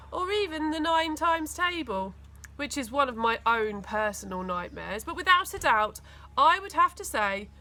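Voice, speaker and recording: A woman reading a children's story aloud in an expressive, dramatic way. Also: high-pitched, a little hiss or hum. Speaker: school teaching assistant reading aloud.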